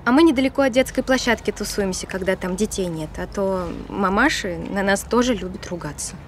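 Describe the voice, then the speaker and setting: An actress in an audio drama playing a friendly, casual dog owner chatting and playfully scolding. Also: female voice, mid-range voice, fairly quick, a little hiss or hum, close to an everyday voice.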